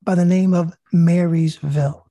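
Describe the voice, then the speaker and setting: A woman hosting an online prayer and reading group, reciting prayers calmly and then chatting with many fillers and restarts over a video call. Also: lowish voice, no echo, clean studio sound. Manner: calm and measured, with many fillers and restarts in chat. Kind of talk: leading prayers, then casual group chat.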